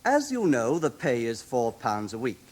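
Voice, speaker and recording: An actor playing a brisk, officious, pompous official in a British radio drama, with a British accent and clipped, declamatory delivery. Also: male voice, mid-range voice, huge pitch swings. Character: brisk, officious, pompous red-haired male league official.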